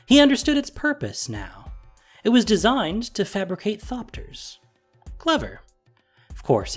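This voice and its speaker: A narrator reading a scripted story in an expressive, deliberate storytelling manner. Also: mid-range voice. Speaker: narrator and producer. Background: music.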